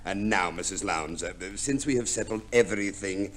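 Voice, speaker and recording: An actor playing a businesslike, courteous divorce agent in an old radio comedy play. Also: male voice, lowish voice, normal speed, lightly acted. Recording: noisy vintage radio play recording.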